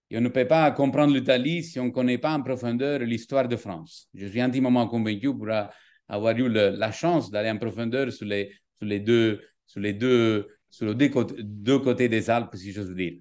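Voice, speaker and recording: A middle-aged man from southern Italy with an Italian accent, a native Italian speaker, giving a formal welcome speech with halting, repeated phrases. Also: medium pitch, a little mellow. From Capri, southern Italy. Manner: halting, with frequent repetitions. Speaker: business school director general giving an opening address.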